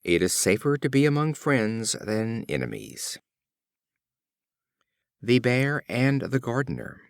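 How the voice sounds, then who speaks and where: A man narrating short fables as an audiobook reader, in a measured, expressive storytelling style. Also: medium pitch, dry recording, studio quality.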